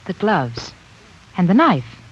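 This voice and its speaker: A woman's voice: fairly low pitch, very animated, slow, breathy edge, exaggerated voice. An actress playing an anxious young woman who presses a doctor with urgent questions, in 1940s radio drama style. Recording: muffled 1940s radio broadcast.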